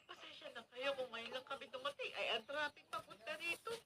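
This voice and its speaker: A woman's voice: high voice, fairly quick, close to an everyday voice. A woman playing a chatty, apologetic provincial mother of a grown son in a radio drama, effusive and full of exclamations. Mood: apologetic, flustered. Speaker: actor. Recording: very noisy radio drama recording.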